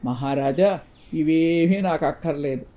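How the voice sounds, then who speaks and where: Bold young village hero, highish voice by a male narrator. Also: measured pace, lightly acted. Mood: confident. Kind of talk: storybook dialogue. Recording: dull, muffled lo-fi sound.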